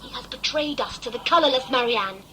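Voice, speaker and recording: Woman playing high, bright, passionately defiant young woman. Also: brisk, slight background noise, poor audio. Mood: defiant, impassioned. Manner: intense, formal, dramatic. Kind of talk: sci-fi audio play acting.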